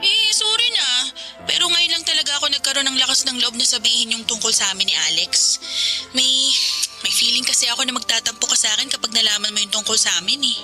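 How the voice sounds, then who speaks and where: Bright, high-voiced bubbly young Filipina. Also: female voice, fast talker, soft background music. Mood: sheepish. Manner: playful, chatty, teasing. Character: bubbly young woman teasing her male best friend. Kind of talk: Filipino radio drama acting.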